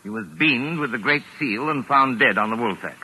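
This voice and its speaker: An actor playing a pompous, touchy mystery novelist with precise, exasperated formal diction, in 1940s radio drama style. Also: male voice, mid-range voice, moderate pace, sharp tone, poor audio. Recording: raspy vintage 1940s radio recording.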